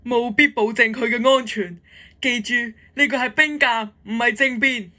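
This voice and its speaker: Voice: medium pitch, fairly even intonation, normal speed, pushed and bright, dry recording, close to an everyday voice. Resolute male patriot general, amateur actor, declamatory and earnest, medium register, radio drama. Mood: grave, resolute.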